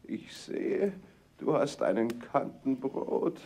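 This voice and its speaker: An actor playing a frail, starving old beggar in a fairy-tale audio play, with a raspy, weak voice and laboured, halting rhymed speech. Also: male voice, medium pitch, very animated, warm tone, full character voice. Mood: weary pleading. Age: older.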